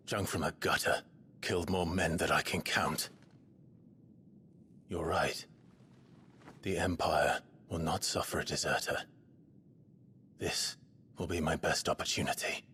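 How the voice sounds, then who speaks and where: A man's voice: a bass voice, fairly even intonation, sharp tone, slight background noise, exaggerated voice. A man playing a brooding young fugitive soldier in a video game, terse and intense, bent on vengeance. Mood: bitter, resolute. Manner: grim, terse, slow and intense. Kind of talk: cinematic video game acting.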